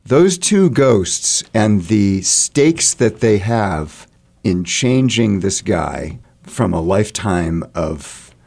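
A man answering interview questions conversationally, haltingly searching for his words. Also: lowish voice, sing-song, gravelly, a little mellow. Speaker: interview guest, theatre artist.

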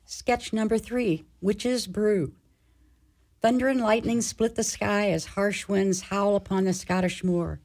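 Woman narrator for a radio play, medium pitch, reading scene intros. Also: steady tone, slow, a little mellow. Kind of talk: scripted narration.

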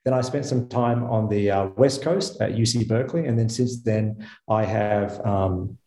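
A man's voice: on the low side, moderate pace, mellow, roomy sound. A man with an Australian accent, a visiting physicist, answering questions on a radio show in a thoughtful way, with frequent fillers and restarts. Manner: thoughtful, self-correcting, many fillers. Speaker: guest physicist.

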